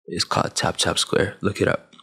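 A man's voice: normal speed, sharp tone. A man in his twenties from Sudan, raised in Saudi Arabia, giving a preachy big-brother rant to camera on a headset mic in a small dim room. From Sudan, grew up in Saudi Arabia. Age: 20s. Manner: preachy big-brother tone, emphatic, with hand gestures. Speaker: vlogger. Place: small dim room at home, gaming chair behind. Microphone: headset with boom mic.

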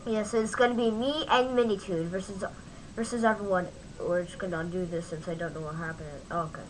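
A woman giving a casual software tutorial in an informal, halting way, often interrupting and talking to herself. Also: medium pitch, fairly even intonation, slight background noise, poor audio. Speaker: tutorial host. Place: at home, at a computer.

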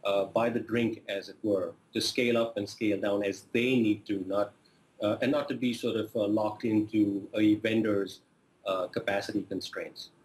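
Low-voiced man talking in an echoey room. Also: fairly even intonation, normal speed, a little hiss or hum. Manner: casual, filler-heavy. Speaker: sales vice president. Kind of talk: recorded interview answer.

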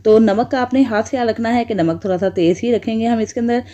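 A woman, calmly walking viewers through a home cooking recipe step by step. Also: on the high side, fast talker, dull audio. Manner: patient, repetitive step-by-step instruction. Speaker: cooking channel host. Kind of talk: voice-over cooking tutorial.

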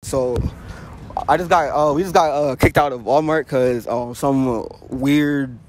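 A man's voice: mid-range voice, unhurried, very noisy. An American man chatting casually in a slangy, informal way as he hosts a prank video. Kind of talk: casual vlog chat.

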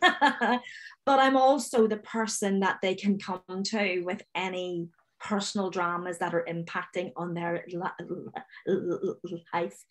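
A woman in her forties with a Northern Irish accent, speaking on a video call panel and stammering openly with repeated sounds, direct and confident. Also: medium pitch. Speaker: webinar panellist, finance manager. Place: home room, indoors. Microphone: video call webcam.

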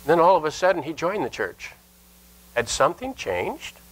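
An older man, a Lutheran pastor, preaching a sermon in an earnest, emphatic manner with deliberate pauses and repeated phrases. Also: fairly high pitch, big pitch swings, a bit bright, poor audio. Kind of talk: sermon with scripture and anecdote. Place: indoor church sanctuary at a lectern.

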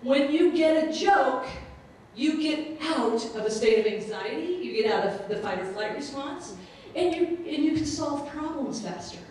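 A woman's voice: high-pitched, expressive, normal speed, darkish tone, slight background noise. A middle-aged woman doing a playful, self-mocking stand-up set on stage with a handheld mic, chatting with the audience. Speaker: stand-up comedian and speaker. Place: stage in a large room with a live audience. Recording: roomy, distant, with audience laughter.

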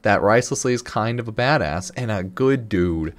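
A man hosting a reaction video, commenting live in casual, excited, slangy exclamations. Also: fairly low pitch, a little fast. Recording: game music behind the voice. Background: game trailer music and sound.

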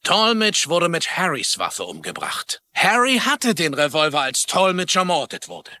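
A skeptical cop in a tv dub, bright voice a bit high, wry. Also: male voice, huge pitch swings, a little slow, heavily acted. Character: skeptical, wry male police lieutenant. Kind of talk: German TV dubbing.

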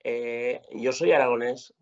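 Man, from Spain, guest on a video call, halting speech, middle pitch, dull lo-fi audio. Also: steady tone. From Spain, born in Zaragoza, raised in Girona. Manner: hesitant, many restarts and fillers. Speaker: guest podcaster. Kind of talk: casual chat on a video call.

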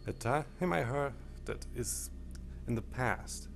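A man whose first language is German, talking over a game menu in halting phrases that break off mid-sentence. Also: medium pitch, creaky. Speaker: let's play commentator. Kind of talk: game commentary with disclaimers. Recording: faint game menu music behind.

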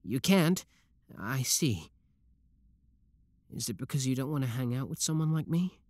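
A man's voice: mid-range voice, animated, unhurried, no echo, clean studio sound, lightly acted. A man playing an obsessive, lovestruck young schoolboy villain in a roleplay audio drama, talking intimately to the listener. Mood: shy, hesitant. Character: shy schoolboy turned smug, obsessive yandere young villain.

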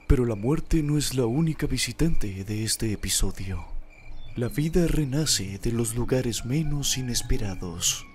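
A man's voice: mid-range voice, animated, a little slow, a little hiss or hum. A man with a Latin American accent narrating a parody nature documentary in a mock-solemn, sometimes crude manner. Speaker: narrator and fandubber. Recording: music loud behind narration. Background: music.

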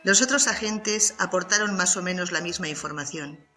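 An audiobook narrator reads a scripted crime story in a bright, forceful voice of medium pitch. The sound is roomy and echoey, with music underneath. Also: moderate pace.

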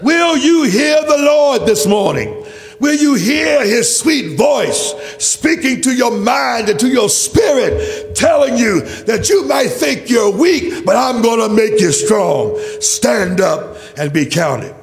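A male preacher delivers an impassioned sermon in a very high, gravel-edged voice. He declaims emphatically and builds on repeated phrases. Long reverb of a large hall trails his words. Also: sing-song, slow pace. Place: large church. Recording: reverberant hall, faint music.

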